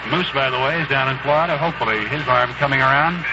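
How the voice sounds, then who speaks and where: A man's voice: mid-range voice, quick pace. An American man giving conversational baseball analysis in the style of 1970s radio commentary. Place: ballpark broadcast booth. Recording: noisy muffled 1970s AM radio broadcast.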